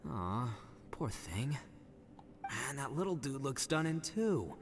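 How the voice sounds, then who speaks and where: Animated, casual man. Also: mid-range voice, takes it slowly. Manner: casual, expressive.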